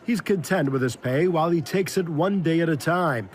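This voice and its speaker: Man, news reporter, fairly high voice, scripted narration. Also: moderate pace.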